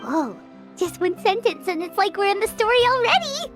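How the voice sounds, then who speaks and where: Childlike little game mascot: squeaky, bubbly, gluttonous, wildly lively pitch. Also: female voice, normal speed. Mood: excited. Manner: bubbly, exclaiming, refers to self by name. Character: tiny excitable, gluttonous childlike floating companion. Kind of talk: video game voice acting. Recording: loud music behind the voice.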